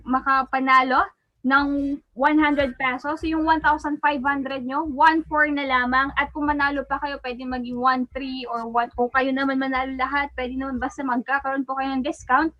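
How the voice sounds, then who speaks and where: A woman's voice: sits high, flat delivery, normal speed, muffled recording. A woman with a Filipino accent politely hosting a casual live online webinar, thanking attendees as she goes. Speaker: webinar host. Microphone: online video call.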